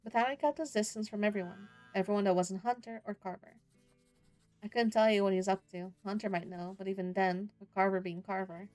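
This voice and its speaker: A woman's voice: mid-range voice, fairly even intonation, a little fast, darkish tone, soft background music, close to an everyday voice. A woman voicing an earnest, glum youngest brother who narrates a rural visual novel, plain-spoken and wry. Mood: wry, wary. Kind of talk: first-person visual novel narration and dialogue.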